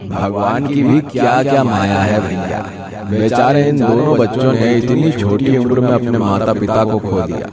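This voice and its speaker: A man playing a kindly, sympathetic townsman in an animated moral story. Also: lowish voice, moderate pace, natural voice. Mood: pitying. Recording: distant mic, loud crowd-like bed behind.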